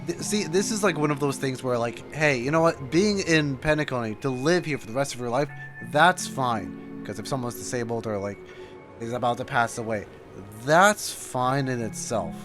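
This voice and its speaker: Halting, informal man reacting to a game. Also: medium pitch, over music. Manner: informal, halting, repeats himself. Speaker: streamer reacting to a video game. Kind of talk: casual live reaction commentary.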